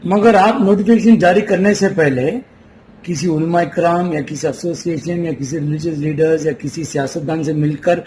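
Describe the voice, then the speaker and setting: A man's voice: on the high side, normal speed, a little mellow. A man conducting a news interview, pressing his questions persistently and cutting in. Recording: noisy interview recording with ambient sound.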